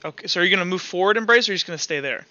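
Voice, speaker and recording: High-voiced man running a tabletop game. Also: expressive, pushed and bright, lo-fi sound. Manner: casual, halting explanations with self-corrections. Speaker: game master. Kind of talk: narrating and refereeing a tabletop roleplaying game.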